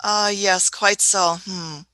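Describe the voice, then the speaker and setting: A woman's voice: medium pitch, fairly even intonation, takes it slowly, bright, forceful tone, clean tone, dry recording. A woman in a radio play acting an eccentric, imperious older time traveller who is fussy, hesitant and repeats her phrases. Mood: fussy, indignant.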